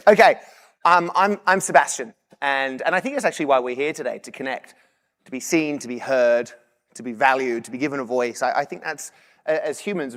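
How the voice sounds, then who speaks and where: Australian man speaking in a reverberant hall. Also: a little high. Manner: lively, engaging the audience with humor. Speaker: keynote speaker. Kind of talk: motivational keynote speech. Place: large convention hall.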